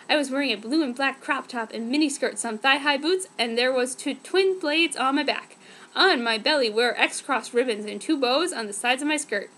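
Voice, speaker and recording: High-pitched woman giving a dramatic reading. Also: fairly bright tone. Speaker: reader.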